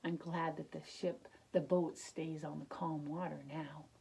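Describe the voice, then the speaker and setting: A woman's voice: sits low, measured pace, vocal fry, breathy edge. Woman reading expressively to young children. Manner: expressive, explains words and pictures, asks gentle questions. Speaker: children's storybook reader. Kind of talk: read-aloud narration with simple asides to young children.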